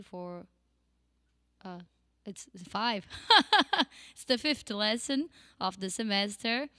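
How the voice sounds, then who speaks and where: A woman's voice: mid-range voice, sharp tone, clear, resonant tone, no room sound. A Brazilian woman with a Brazilian accent teaching a singing lesson in English, chatty and laughing often. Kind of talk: casual online lesson.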